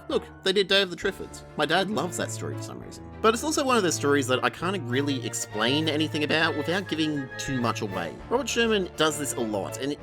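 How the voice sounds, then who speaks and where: Australian man, animated intonation, wry and blunt, colloquial review narration, music underneath. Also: a little high. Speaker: video essay reviewer. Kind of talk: scripted review narration with informal asides. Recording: music behind the voice. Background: background music.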